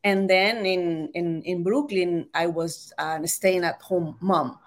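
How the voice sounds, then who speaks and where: A woman from El Salvador with a Salvadoran accent, a Spanish native speaker, telling her story as an author and journalist in an interview, halting with many restarts. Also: fairly low pitch, steady tone.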